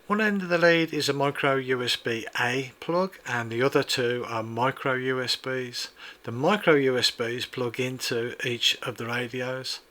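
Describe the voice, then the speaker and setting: A man with a bright, clear tone reads a product review from a script. He talks in a measured, precise way, walking through each feature and button step by step at an even middle pitch.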